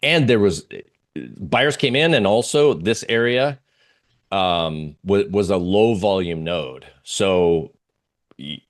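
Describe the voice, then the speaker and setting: A man giving informal live market commentary as a guest analyst, halting and searching for his words. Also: deep voice.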